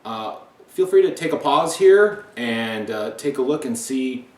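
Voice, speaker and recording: A man reading a children's picture book aloud with asides, talking directly to young listeners in an animated way. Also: medium pitch, unhurried. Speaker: read-aloud storybook reader. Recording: echoey room, distant mic.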